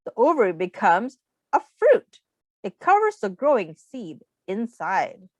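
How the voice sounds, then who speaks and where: A woman reading a children's book aloud as a teacher, expressively, pausing to explain things simply and ask young listeners questions. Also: medium pitch, wildly swooping pitch, no echo.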